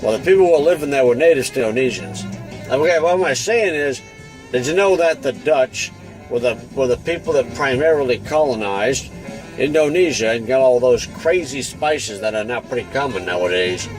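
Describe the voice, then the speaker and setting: A man's voice: medium pitch, animated, moderate pace, close to an everyday voice, low-quality recording. A man voicing a world-weary, wisecracking old-time private detective, rambling in an offhand, slangy, grumbling way. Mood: rambling, musing. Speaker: narrator and host of a comic monologue. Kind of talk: noir private-eye monologue, improvised rambling. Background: jazz music with saxophone.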